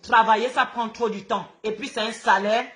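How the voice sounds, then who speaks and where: A woman's voice: fairly high pitch, fairly even intonation, moderate pace, a bit bright. A woman with a Gabonese accent, a native Fang speaker, ranting live to her followers in an outspoken, combative, repetitive way. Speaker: social media host.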